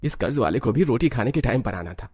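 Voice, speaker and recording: A man's voice: mid-range voice, expressive, fast talker, a little mellow, a little hiss or hum, natural voice. A man playing a poor, indebted village husband in a cartoon story, meek, grumbling and plaintive. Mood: meek, placating. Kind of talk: Hindi cartoon story acting. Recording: music behind the voice.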